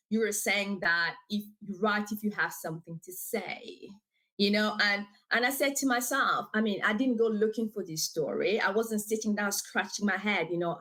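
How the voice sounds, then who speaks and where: A woman from DR Congo whose first language is French, talking about her writing as a podcast guest. She speaks in an animated, talkative way over a video call. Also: mid-range voice, poor audio. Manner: animated and talkative, with frequent fillers and laughs. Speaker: author and guest. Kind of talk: casual interview.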